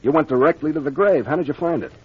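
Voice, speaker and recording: Husky, animated radio detective captain. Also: male voice, on the high side, quick pace. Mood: brisk, explanatory. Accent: American. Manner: expository, authoritative, plain-spoken with gangster slang. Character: brisk, confident captain explaining a solved mystery. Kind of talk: 1940s old-time radio mystery acting. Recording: dull, lo-fi vintage radio recording.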